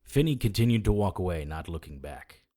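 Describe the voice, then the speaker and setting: An actor playing a cocky, sarcastic hardboiled supernatural detective, narrating and trading defiant lines in a noir audio drama. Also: male voice, low-pitched, big pitch swings, a little fast, dark tone. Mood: wry narration.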